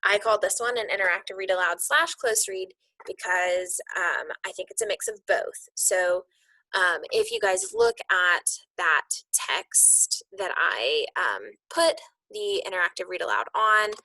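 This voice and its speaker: A woman's voice: fairly low pitch, very animated, slow pace, sharp tone, no echo, low-quality recording. A woman giving an informal, conversational instructional walkthrough, explaining things as a teacher would to colleagues. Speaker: teacher coach explaining lesson plans to colleagues.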